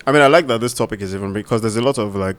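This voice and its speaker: A young adult Nigerian man with a Nigerian accent, co-hosting a podcast in relaxed, casual chat. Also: on the low side, very fast, clean tone. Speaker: podcast co-host.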